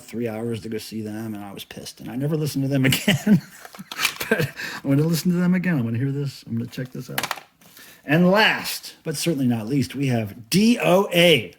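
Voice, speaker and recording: A middle-aged man showing off his collection in casual, unscripted chat, enthusiastic, rambling and a little profane. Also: mid-range voice. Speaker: cassette collector and video host. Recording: clearly noisy home recording with clicks.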